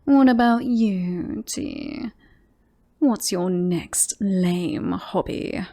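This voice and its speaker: A woman playing a possessive, lovestruck female werewolf in a roleplay audio drama, teasing and boastful, often slipping into breathy whispers. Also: medium pitch, warm tone, heavily acted. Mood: smug, teasing. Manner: slow, breathy asides, often dropping into whispers.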